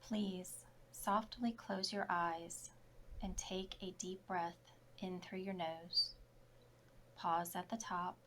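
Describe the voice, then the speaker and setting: A woman yoga instructor leading a guided relaxation meditation in short, soft, measured phrases. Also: lowish voice, steady tone, slight background noise.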